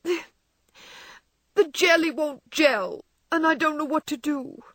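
A woman reading aloud voices a distraught young wife who pleads in a high, tearful, overwrought way. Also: moderate pace, no room sound, studio quality. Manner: sobbing, pleading, high-strung.

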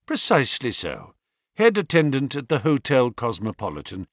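A shrewd detective speaks in a bland, measured, quietly assured way, as a male reader puts him on. His pitch still moves a lot. The sound is dry and close. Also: huge pitch swings, dry recording.

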